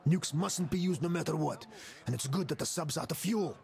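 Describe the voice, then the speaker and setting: A man's voice: fairly high pitch, a little fast, gravelly, a little mellow. An actor playing a blunt, defiant, fed-up crewman in a post-apocalyptic video game. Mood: bitter defiance. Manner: blunt, sarcastic. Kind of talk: video game cutscene acting.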